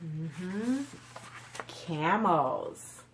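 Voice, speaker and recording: A woman's voice: lowish voice, expressive, drawn-out words, slight background noise. A woman hosting a children's storytime, explaining books and putting simple questions to young listeners. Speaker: children's storytime host and book reviewer. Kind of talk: children's storytime book review.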